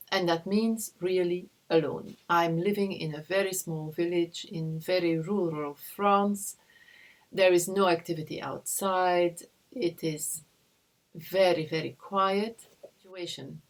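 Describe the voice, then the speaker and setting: A woman from Belgium speaks over a video call from her home. Her voice sits fairly low, and she talks haltingly, pausing to search for words. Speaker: interviewee. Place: home in a rural village.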